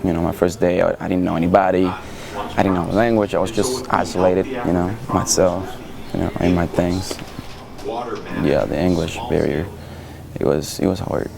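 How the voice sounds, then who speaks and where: A man's voice: low voice, steady tone, moderate pace, creaky, crowd noise. A young man from Cuba with a Cuban Spanish accent, a student interviewed, speaking hesitantly with many fillers. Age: young adult.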